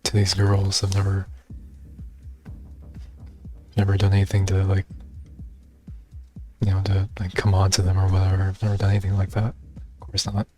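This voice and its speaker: A young man talking in a soft, reserved and hesitant way, with many fillers, in a personal video monologue. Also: sits low, monotone, moderate pace, pauses often, soft background music. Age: young adult. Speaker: vlogger.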